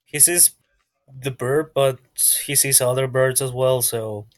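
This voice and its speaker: A man's voice: medium pitch, steady tone, a little slow. A man acting as game master, casually narrating and making rulings in a tabletop game.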